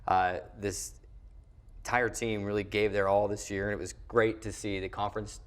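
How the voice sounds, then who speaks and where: A man's voice: lowish voice, flat delivery, moderate pace, smooth. A man with an American accent hosting a sports show in a conversational broadcast style. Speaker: sports show host, play-by-play announcer.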